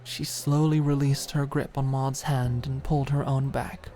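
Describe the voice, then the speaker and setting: A woman reads dramatic fiction at a slow, deliberate pace, soft and emotive in an intimate ASMR style. Music plays clearly behind her. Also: very deep voice, flat delivery. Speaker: audiobook narrator. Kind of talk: scripted dramatic narration, intimate ASMR audiobook.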